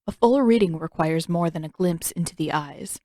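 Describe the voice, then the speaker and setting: A woman's voice: fairly low pitch, normal speed, mellow, dry recording, clean studio sound, natural voice. A woman voicing an older female fortune teller who speaks in grand, florid, disdainful pronouncements. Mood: haughty disdain. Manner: lofty, florid, solemn pronouncements.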